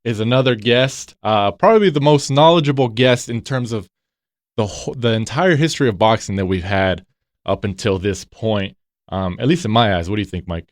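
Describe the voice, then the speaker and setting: A man hosting a casual podcast, relaxed and full of fillers, often echoing his co-host's words. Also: on the low side, moderate pace, studio quality. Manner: relaxed, filler-heavy, repeats others' phrases. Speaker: podcast host.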